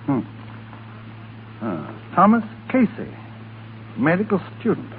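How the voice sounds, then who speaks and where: An actor playing a brisk, officious army colonel, clipped and authoritative, in 1930s radio drama. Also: male voice, high voice, wildly swooping pitch, exaggerated voice. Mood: suspicious, brisk. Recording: tinny, very noisy 1930s radio.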